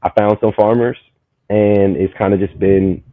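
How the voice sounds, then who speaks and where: Guest: man, 30s, from Maryland, rambling storyteller, low voice, phone-quality audio, dry. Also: normal speed, no room sound. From Maryland, USA. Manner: animated, rambling, full of "you know what I'm saying". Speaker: guest, community market founder. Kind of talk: casual storytelling conversation.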